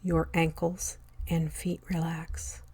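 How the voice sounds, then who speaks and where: A woman narrating a scripted guided meditation in a soothing, measured way, with a soft voice that often fades toward a whisper and long pauses between short phrases. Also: deep voice, level tone, slightly rough, dry recording.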